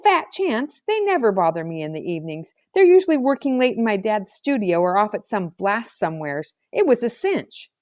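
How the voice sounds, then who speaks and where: A woman's voice: mid-range voice, sing-song, moderate pace, few pauses, a little mellow, no room sound, close to an everyday voice. A brash schoolboy from a storybook, voiced by a woman, full of slang and bluster. He boasts and justifies himself at every turn. The sound is close and dry. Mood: boastful. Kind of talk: storybook character voice.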